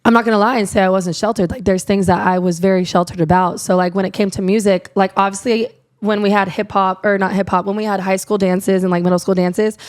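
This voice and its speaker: Young woman guest from Iowa, raspy, loose rambling delivery. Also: medium pitch, fairly even intonation, fast talker, hardly any pauses. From Iowa, USA. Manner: rambling, lots of "like" fillers. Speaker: guest, country singer. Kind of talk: casual interview chat.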